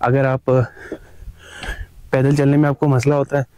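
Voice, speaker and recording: A man's voice: medium pitch, little pitch movement, moderate pace, mellow, no echo. Chatty male travel vlogger outdoors. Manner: chatty, enthusiastic, addresses viewers as guys. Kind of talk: casual travel vlog narration. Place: outdoors on a mountain trail.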